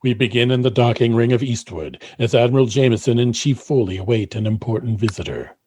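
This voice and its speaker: Male narrator, announcer-like, scripted sci-fi comedy, medium pitch, dry close sound. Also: a little fast, a little mellow, husky, dry recording. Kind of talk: scripted comic radio-drama narration.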